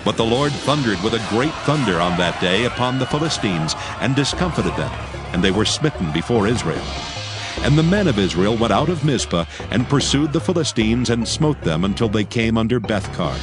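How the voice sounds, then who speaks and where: A man narrating scripture from a script in a solemn, measured, formal manner. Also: lowish voice, big pitch swings. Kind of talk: scripted dramatized Bible narration. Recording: faint music behind the voice. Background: faint background music.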